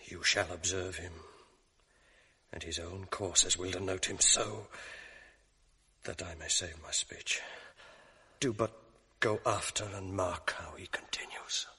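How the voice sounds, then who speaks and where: An actor playing a scheming, guarded ensign in a 1980s radio drama, measured and evasive. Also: male voice, low voice, fairly even intonation, sharp tone, slightly rough, exaggerated voice. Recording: long reverb of a large room.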